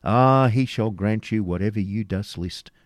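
A man's voice: fairly low pitch, measured pace, dry recording, clean studio sound, lightly acted. A man voicing a commanding outlaw captain, grave and coolly wry, in a storybook reading.

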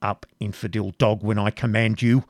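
A man's voice: fairly low pitch, level tone, normal speed, dry recording, natural voice. Storybook prince, played by a man: scornful, hot-tempered, commanding, archaic diction, dry close sound. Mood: angry command. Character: fiery, imperious medieval prince, scornful and quick-tempered.

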